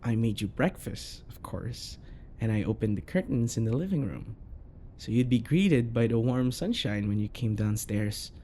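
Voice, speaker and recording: A man acting a possessive, jealous yandere boyfriend in an intimate ASMR roleplay, coaxing and passive-aggressive with sweet pet names and quiet menace. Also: mid-range voice, animated, moderate pace, a little hiss or hum, natural voice. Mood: saccharine, probing.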